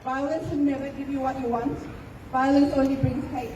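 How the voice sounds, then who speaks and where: High-voiced woman giving an impassioned rally speech. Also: steady tone, moderate pace, over a noisy crowd, heavy background noise. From Ethiopia. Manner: earnest, emphatic, pained. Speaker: rally speaker. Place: outdoor rally. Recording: distant, echoey outdoor recording.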